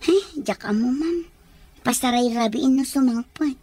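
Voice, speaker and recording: A woman's voice: sits high, animated, unhurried, a little mellow, breathy edge, heavily acted. A woman acting in a radio drama as a polite, deferential and hesitant caregiver.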